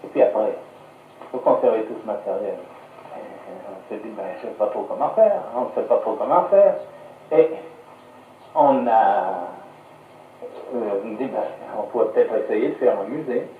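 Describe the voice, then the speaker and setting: A man answering a radio interview as a curator, halting and searching for words, on a 1950s archive recording. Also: on the low side, lively intonation, echoey room, far from the mic, narrow, tinny audio, a little hiss or hum. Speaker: museum curator being interviewed.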